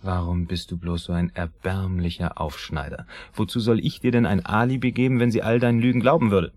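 A jealous, moralizing husband in his thirties in a radio drama. His voice sits low and sounds husky. He speaks earnestly and deliberately, as someone who broods over everything. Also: fairly even intonation, darkish tone. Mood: reproachful. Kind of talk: German radio drama acting.

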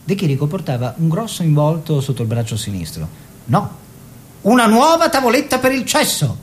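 Radio drama: refined painter raconteur, genial, theatrical, very animated intonation, slight background rumble. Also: male voice. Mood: amused, savoring. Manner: theatrical storytelling with mimicked dialogue and drawn-out words. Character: Nino, genial aristocratic bohemian painter husband, fond raconteur. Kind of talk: Italian stage-style radio drama, narrated recollection.

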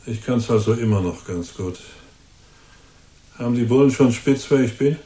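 An actor playing a cold-blooded, sneering killer who taunts with drawn-out menace in a German radio crime drama. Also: male voice, medium pitch, dark tone, roomy sound, natural voice. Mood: cool, mocking.

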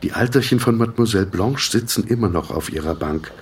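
An actor playing a middle-aged paralysed publisher, brooding, terse and reserved, in an introspective radio drama. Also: male voice, lowish voice, lively intonation, fairly quick, a little hiss or hum, close to an everyday voice.

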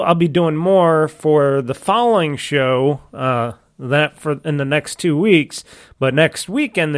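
Gravelly-voiced man hosting a podcast. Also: a little high, fairly bright tone, no room sound, clean studio sound. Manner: rambling, self-correcting, loose and chatty. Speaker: podcast host. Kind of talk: casual solo podcast talk. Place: home studio.

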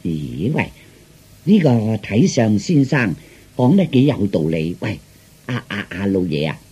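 A man voicing an eager servant in a Cantonese audio story, coaxing his master in a hushed, hurried way. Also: lowish voice, sing-song, husky, pitched below the performer's own voice, exaggerated voice.

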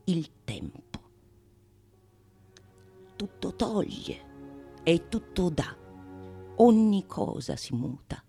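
A grave, ardent philosopher in a radio theatre monologue. The delivery is slow and solemn, declaimed with long deliberate pauses, with music in the recording. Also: female voice, on the low side, sing-song, creaky, warm tone, full character voice. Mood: grave, hushed.

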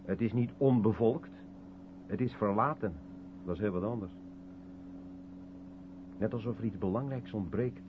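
An actor playing a reflective, sceptical man with a deliberate, wry way of talking, in 1970s radio play style. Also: on the low side, narrow, tinny audio, natural voice. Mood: musing, uneasy. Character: reflective, sceptical South African man of colour, probing. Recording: thin, noisy old radio recording with hum.

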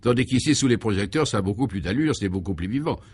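A man's voice: fairly low pitch, lively intonation, fast, darkish tone, slightly rough. A man voicing a brazen, flirtatious society woman with an insinuating manner in a comic radio serial. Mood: brazen, chatty.

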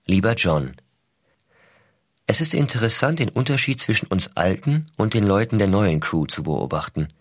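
A man playing an American astronaut father, reading letters to his small son in a measured, reflective way. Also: lowish voice, sing-song, slightly rough, no room sound, studio quality. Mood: observant, gently amused. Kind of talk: scripted reading of personal letters.

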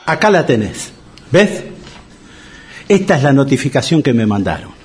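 An actor playing a self-pitying, debt-ridden man pining for his ex-wife in a comic radio drama, with a husky Argentine Rioplatense accent. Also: mid-range voice, sing-song, normal speed, a little mellow, soft background music, lightly acted. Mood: anxious, defeated.

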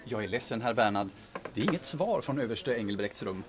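A man's voice: lowish voice, animated, background noise, lightly acted. A man playing a polite, discreet hotel receptionist in an audio drama, formal and chatty with guests. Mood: courteous, discreet. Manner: formal, courteous.

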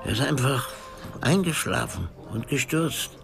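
An actor playing an easygoing veteran male jazz musician in a radio drama, gravelly and casual, with wry, slangy talk. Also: medium pitch, animated, unhurried, over music. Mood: reflective.

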